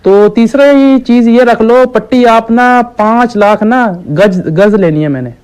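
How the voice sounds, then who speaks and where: A man playing a slow-witted, stubborn village shopkeeper on a deadpan prank call, hesitant and naively persistent. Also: very high-pitched, smooth. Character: dim, stubborn village shopkeeper ordering absurd medicine quantities. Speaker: prank caller.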